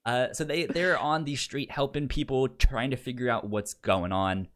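A man's voice: medium pitch, moderate pace. A man co-hosting a podcast in casual recap chat, often stammering and repeating the starts of words. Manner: acts out quotes in playful raised voices. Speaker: podcast co-host.

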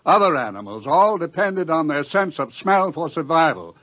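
An actor in an old radio drama playing an impatient, matter-of-fact scientist who explains things emphatically on a lo-fi recording. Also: male voice, a little high, sing-song, moderate pace, a bit bright. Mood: impatient, grimly certain. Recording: dull lo-fi old radio sound.

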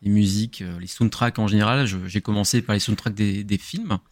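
A middle-aged man explaining his podcast casually, halting and often rephrasing as he goes. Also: on the low side, steady tone, dry recording. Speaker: podcast host appearing as a guest. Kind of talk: casual talk explaining own show.

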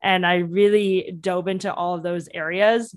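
A woman's voice: on the low side, steady tone, normal speed, no echo, studio quality. A young woman in her twenties whose first language is Cantonese, telling her story eagerly in a podcast interview with many restarts. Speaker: entrepreneur guest.

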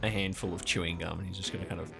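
A man's voice: low voice, little pitch movement, very fast, gravelly, music in the background. A man casually improvising narration as game master of a tabletop role-playing game.

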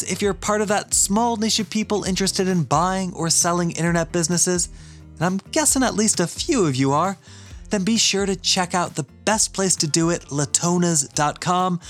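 A man hosting a podcast, giving a scripted introduction and ad read in a polished, measured way. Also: high voice. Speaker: podcast host, university teacher.

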